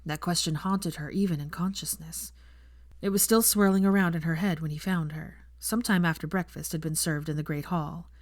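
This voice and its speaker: A woman's voice: deep voice, fairly even intonation, normal speed, dry recording, studio quality. A woman reading a scripted story as the narrator of a fan fiction audiobook.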